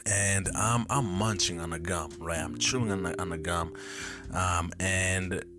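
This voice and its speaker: A young man in his twenties with a deep, low voice hosts his own podcast, recalling early memories in a loose, rambling way. He often stops to correct himself and thinks out loud. Guitar music plays clearly behind him. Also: fairly even intonation, a little slow. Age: 20s. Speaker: podcast host. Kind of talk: casual solo storytelling. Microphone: camera mic.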